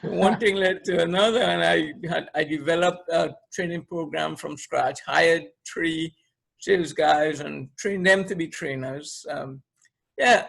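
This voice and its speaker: A man's voice: fairly high pitch, vocal fry, fairly bright tone. A middle-aged or older man with a Trinidadian accent, speaking conversationally as an interview guest about his own experience. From Trinidad and Tobago. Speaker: podcast guest, leadership trainer. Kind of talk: conversational interview, recounting career.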